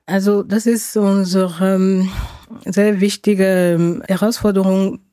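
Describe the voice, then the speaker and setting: A woman with a non-native German accent giving unscripted interview answers, halting as she searches for words. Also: mid-range voice, level tone, warm tone, dry recording, clean studio sound. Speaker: association board chair, interview guest.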